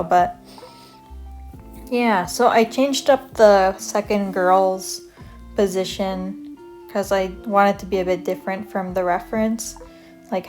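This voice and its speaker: A young woman from Canada chatting casually as she draws, rambling with lots of fillers and self-corrections. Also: mid-range voice. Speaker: art vlogger. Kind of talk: casual chat over a draw-with-me video. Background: soft guitar music.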